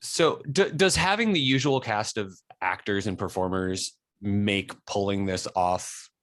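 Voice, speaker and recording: A man working as an interviewer, putting questions casually in halting, tentative phrases. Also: lowish voice, fairly bright tone, no echo, studio quality.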